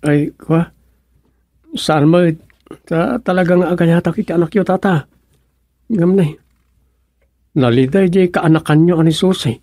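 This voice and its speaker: A mellow, slightly high-voiced young man, played by a man in a comedic radio serial. He is eager and pleading but halting, stumbling over his words, recorded close and dry. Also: no echo. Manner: halting, stammering. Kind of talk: comedic radio drama acting.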